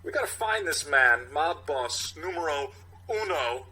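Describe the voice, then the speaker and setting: A man acts out a theatrical police captain addressing his squad in a cop-show parody. The voice is very bright and forceful, lifted in pitch, with halting delivery and odd stresses that swing up and down. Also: lively intonation, breathy edge, full character voice, rough recording. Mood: grave, halting. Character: police captain, male, theatrical, halting, folksy leader, movie-star impression. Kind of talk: mock cop show script reading.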